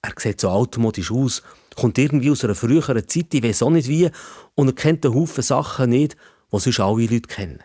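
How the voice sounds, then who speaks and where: A male storyteller with a Swiss German accent narrates a children's tale in the first person. His voice sits at an average male pitch and is recorded dry, with no room sound. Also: fairly quick, warm tone, dry recording.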